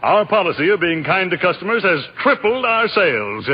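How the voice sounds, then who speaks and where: On a dull vintage radio recording, a blustery business tycoon holds forth. His voice is husky and fairly high, excitable and boastful. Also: normal speed, exaggerated voice. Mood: excited, boastful. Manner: flustered, boastful, repeating himself. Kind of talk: mid-century American radio drama acting.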